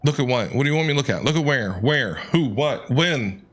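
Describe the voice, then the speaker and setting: A man's voice: medium pitch, animated, fairly quick, a bit bright. A man streaming a game playthrough, reacting aloud in a casual, jokey way. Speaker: gaming streamer. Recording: game music behind the voice.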